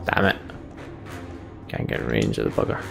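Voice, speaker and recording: A man's voice: extremely low pitch, very animated, normal speed, creaky. A man hosting a let's play, reading game text aloud and commenting casually on his play. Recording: game music behind the voice. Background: game music.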